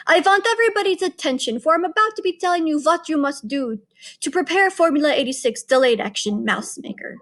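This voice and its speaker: A shrill, imperious witch leader, put on by a woman reading aloud barks out her commands with cold authority. Her voice sits high and cuts through, the tone of someone used to total obedience. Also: expressive, brisk, a bit bright. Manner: imperious, barking orders.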